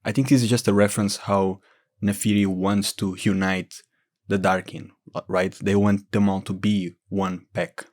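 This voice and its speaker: A man's voice: sits low, flat delivery, unhurried, darkish tone. A man giving informal, explanatory lore commentary as a gaming video creator.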